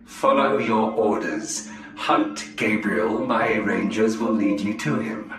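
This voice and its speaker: Low-voiced, composed alien spymaster. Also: male voice. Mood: cool authoritative. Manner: measured, formal. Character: composed cool male alien elf spymaster. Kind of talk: formal scripted video game acting. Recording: echoey with faint music.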